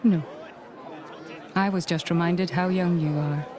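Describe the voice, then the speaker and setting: A woman's voice: fairly low pitch, fairly even intonation, dark tone, slight background noise, natural voice. A woman playing a composed, dry, formal imperial officer in a video game. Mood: cool, probing. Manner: formal, measured, cool. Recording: game music and crowd behind.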